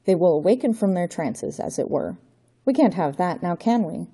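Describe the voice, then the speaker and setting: A woman's voice: on the low side, expressive, moderate pace, warm tone, husky. A woman voicing a scheming aristocratic male villain count with a theatrical, menacing manner, in a raised voice.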